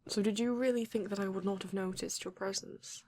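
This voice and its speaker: A woman playing a flirty, courteous vampire in an ASMR romance roleplay, breathy and often dropping to a whisper, with genteel, teasing phrasing. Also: mid-range voice, fairly even intonation, a little mellow, natural voice. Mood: amused, chiding. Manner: slow, genteel, teasing, old-fashioned wording. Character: flirty, courteous vampire living alone in a castle.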